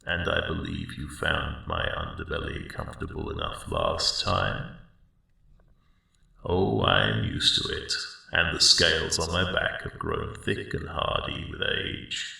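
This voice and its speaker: Very deep, gravelly old dragon. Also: male voice, sing-song, full character voice. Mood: warm, caring. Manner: gentle, rambling, unhurried, drowsy. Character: friendly old male dragon, gentle sleepy cave guardian. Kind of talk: ASMR roleplay acting. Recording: very echoey cave-like sound, slightly distant.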